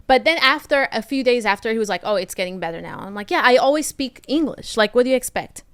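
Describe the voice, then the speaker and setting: A woman's voice: fairly high pitch, quick pace, smooth. A young woman from Portugal with a Portuguese accent, a comedian telling stories in casual podcast chat. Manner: storytelling, animated. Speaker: comedian guest.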